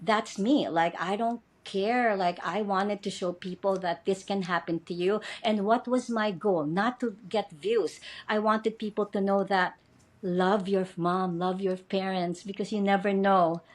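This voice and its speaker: A woman's voice: medium pitch, fairly even intonation, unhurried. A woman in her fifties from the Philippines with a Filipino accent, speaking English as a second language, talking earnestly as a podcast guest and often restarting her phrases. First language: not English. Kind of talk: interview conversation.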